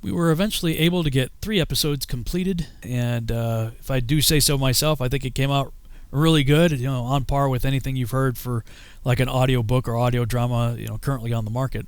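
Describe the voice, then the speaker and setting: A man gives conversational documentary narration. His pitch is average for a man. The sound is very dry, with no room echo. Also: a little fast, clear, resonant tone. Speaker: filmmaker and narrator.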